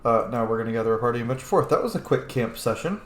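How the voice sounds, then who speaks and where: A man's voice: mid-range voice, animated, very fast. Blunt, sweary man gaming in an echoey room. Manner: blunt, heavily profane. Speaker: gamer playing and commenting on a role-playing game. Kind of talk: casual game commentary. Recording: roomy echo, faint background noise.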